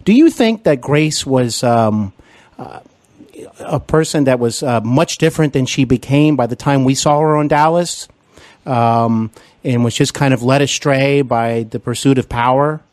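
A man's voice: medium pitch, measured pace, darkish tone, no echo. A man hosting an interview, asking long rambling questions full of fillers and restarts. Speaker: podcast interviewer and actor.

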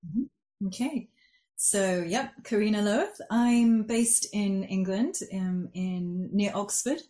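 A woman's voice: mid-range voice, slow, mellow. A woman from Switzerland who works as a life coach and part-time lawyer. She talks through her answers thoughtfully, with frequent restarts and "um"s, over a video call. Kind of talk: conversational interview answers.

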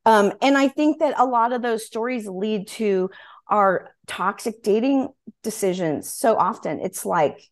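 A woman's voice: medium pitch, animated, measured pace. A woman in her forties hosting a podcast in a casual, candid, emphatic and informal way. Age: 40s. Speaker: podcast host. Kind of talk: casual chat.

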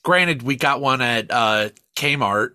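A man co-hosting a podcast in casual banter, swearing freely and rambling in an animated way. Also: medium pitch, slow pace, smooth, no echo, clean studio sound. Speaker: podcast co-host.

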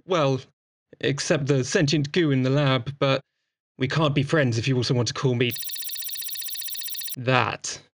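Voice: medium pitch, steady tone, a little slow, pauses often, no echo, close to an everyday voice. An actor of androgynous voice playing a sociable, playful doctor in a chatty audio drama, exuberant, informal and teasing. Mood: friendly, joking. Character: breezy, sociable, playful doctor at a space clinic.

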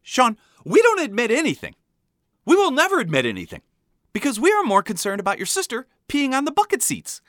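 A man's voice: wildly swooping pitch, fairly quick, fairly bright tone, dry recording, clean studio sound, full character voice. A man voicing an exasperated, indignant mother scolding her son in a comic story. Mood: indignant screaming. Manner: shrill, emphatic, indignant.

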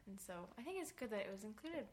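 A woman's voice: fairly high pitch, lively intonation, fast, darkish tone, breathy edge. A woman from Massachusetts co-hosting a podcast, chatting casually and playfully at home and laughing often. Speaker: podcast co-host. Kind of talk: casual chat. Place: home room. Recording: party crowd noise behind. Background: neighbors' party.

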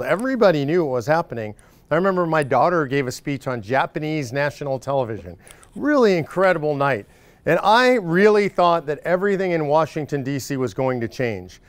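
A man in his forties giving a loud, casual, joking talk to a live audience of supporters. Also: on the high side, clear, resonant tone, no room sound. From Northern California. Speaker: speaker addressing supporters. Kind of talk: casual stump speech.

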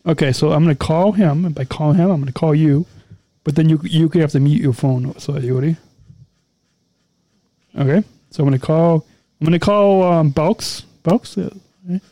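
Male podcast co-host, high mellow voice, dry close sound, cheerful rambling sign-off. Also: moderate pace, long pauses, dry recording. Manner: repetitive, stumbling, upbeat.

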